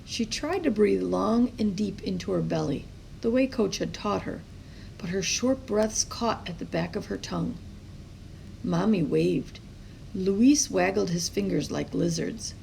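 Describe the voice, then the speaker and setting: A woman with a creaky voice reading a children's story aloud expressively, as a storyteller. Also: mid-range voice, animated, unhurried, darkish tone, slight background noise, low-quality recording. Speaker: storybook reader.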